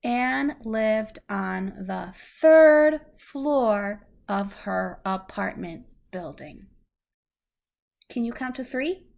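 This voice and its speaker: Very slow, patient woman reading aloud. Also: a little high, lively intonation, vocal fry, a little mellow. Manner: patient, simple teacherly phrasing. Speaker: adult reading a story aloud to a young child. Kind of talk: slow read-aloud storytelling with simple explanations to a child.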